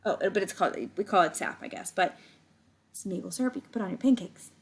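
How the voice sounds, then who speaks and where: A woman's voice: mid-range voice, fast talker, slightly rough. A woman reading a picture book aloud to children like a teacher, stretching words and adding casual explaining asides to her young listeners. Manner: animated storytelling, stretches words, chats directly to young listeners.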